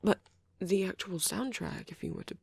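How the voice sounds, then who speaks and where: A man playing a shy young male vampire in an ASMR roleplay, rambling hesitantly and apologetically in a soft, breathy voice that often drops to a whisper. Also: high voice, big pitch swings, no echo, heavily acted. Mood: hushed, enthusiastic. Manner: hesitant, halting, rambling, self-correcting.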